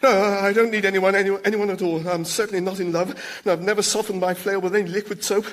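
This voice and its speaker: An actor playing a needy, nervous demon underling in a radio sitcom, stammering, flustered and fawning. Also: male voice, high-pitched, steady tone, fast, slight background noise, natural voice. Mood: flustered denial.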